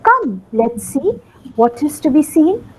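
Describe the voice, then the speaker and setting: A woman's voice: high voice, very animated, slow pace, a little mellow, slight background noise. A woman narrating a play in theatrical rhymed storytelling, addressing her listeners directly.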